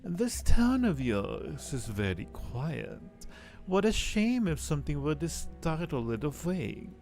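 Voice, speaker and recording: A man voicing a haughty, sinister, formal woman from a spooky game, speaking in a slow, drawn-out, aloof way. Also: fairly high pitch, big pitch swings, darkish tone, faint music behind, voice pushed up, exaggerated voice. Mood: quietly menacing. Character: haughty, sinister, formally dressed woman out walking her dog.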